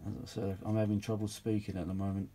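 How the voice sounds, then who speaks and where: A man's voice: lowish voice, level tone, quick pace, mellow. A British man chatting casually and ramblingly as he works on his hobby, in a grumbling, world-weary, mildly sweary manner. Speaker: vintage audio repair hobbyist presenting his own videos. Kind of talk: casual rambling workbench chat.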